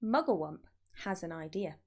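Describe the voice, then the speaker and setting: A woman reading a children's story as narrator, in an expressive scripted style. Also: fairly low pitch, moderate pace. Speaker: storybook reader.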